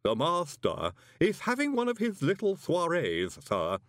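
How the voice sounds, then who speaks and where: A man voicing a stumpy, impassive manservant in an audiobook, formal, deadpan and deferential. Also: on the high side, no echo, clean studio sound, pitched above the performer's own voice, full character voice. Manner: deadpan, ceremonious, unhurried servility.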